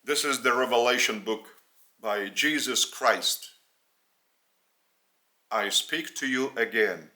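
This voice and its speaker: Slow-speaking Lithuanian man preaching. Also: medium pitch, bright, forceful tone. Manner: slow, halting delivery in short phrases. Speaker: spiritual teacher. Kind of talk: spoken religious teaching in English.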